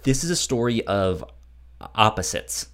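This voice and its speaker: A man co-hosting a podcast, talking casually and haltingly, often stammering over word starts. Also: fairly low pitch, big pitch swings, a bit bright. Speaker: podcast co-host. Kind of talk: casual book discussion chat.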